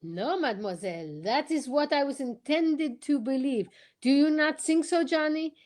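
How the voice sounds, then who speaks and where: Radio mystery sleuth, female performer: courteous male Belgian, high voice, formal phrasing with theatrical flourishes. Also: animated, moderate pace, a little mellow. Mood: urgent, insistent. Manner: formal, theatrical, peppered with French exclamations. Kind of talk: old-time radio detective drama acting.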